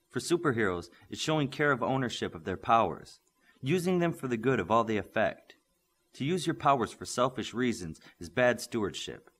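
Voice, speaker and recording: Man voicing an eager young superhero boy, animated. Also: medium pitch. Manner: short, earnest questions and answers. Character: eager, questioning eleven-year-old superhero boy.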